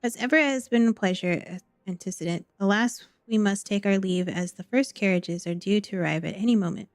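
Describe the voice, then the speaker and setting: A woman voicing a gracious, dutiful noblewoman in a fantasy game, reading formal archaic dialogue in a gentle, measured manner. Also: medium pitch, dry recording, clean studio sound, lightly acted. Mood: courteous.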